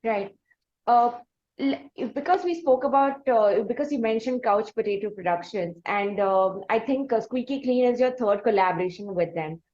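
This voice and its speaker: A woman with an Indian accent hosting an interview, asking long questions in a halting, conversational way. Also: a little high, darkish tone. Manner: halting, long-winded questions full of pauses. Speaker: podcast host, interviewer. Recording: dull, lo-fi audio.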